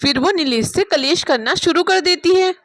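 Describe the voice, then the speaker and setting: High-pitched woman storyteller. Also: moderate pace. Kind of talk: scripted narration of a Hindi moral story.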